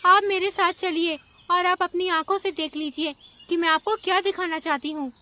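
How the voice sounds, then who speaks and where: A woman's voice: very high voice, steady tone, moderate pace, pushed and bright. A woman voicing a small, innocent young animal in a children's cartoon, with an earnest, childlike, pleading manner. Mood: insistent. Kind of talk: Hindi children's cartoon voice acting.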